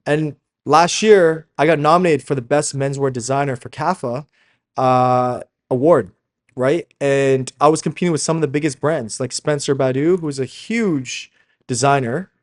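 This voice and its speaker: A man's voice: mid-range voice, normal speed. A man, a Slovak speaker, coaching informally and emphatically in a motivational sales video, often checking in with listeners. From Slovakia, moved to Canada as a young child. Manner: emphatic, addresses listeners as guys, constant tag questions. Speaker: fashion brand founder and coach.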